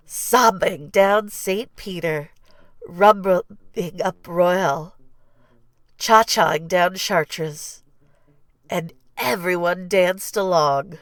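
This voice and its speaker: A woman narrating a children's storybook in an expressive, deliberate read-aloud style. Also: fairly low pitch, no echo. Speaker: storybook reader.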